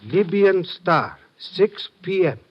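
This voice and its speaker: An actor playing a formal, businesslike Greek police lieutenant who gives precise orders in a 1950s radio drama. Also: male voice, sits high, lively intonation, slow, slightly rough, full character voice. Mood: urgent. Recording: muffled, noisy old radio recording.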